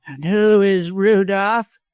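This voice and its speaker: A man's voice: sits high, slow, a little mellow, smooth, dry recording, pitched above the performer's own voice. A man voicing a venomous, cowardly lawyer villain with a harsh, snarling manner in an audiobook story. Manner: snarling, gasping, harsh, chuckling.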